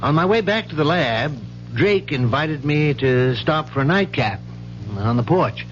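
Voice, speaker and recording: A man's voice: on the high side, lively intonation, measured pace. Precise, reserved male professor. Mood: earnest, concerned. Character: proper, measured male university marine professor. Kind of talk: 1970s radio mystery acting. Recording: muffled, noisy 1970s radio recording with hum.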